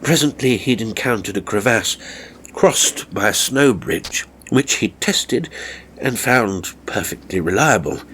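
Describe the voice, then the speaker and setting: A man's voice: fairly low pitch, lively intonation, a little slow, fairly bright tone, a little hiss or hum, low-quality recording. A man reading a story as a dramatic narrator, with a theatrical, wry raconteur's delivery.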